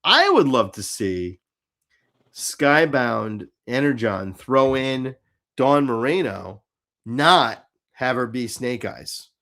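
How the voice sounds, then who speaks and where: A man's voice: mid-range voice, sing-song, takes it slowly, fairly bright tone. A man hosting a fan show in casual chat, rambling and hesitant, with some swearing. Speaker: host of a live fan show.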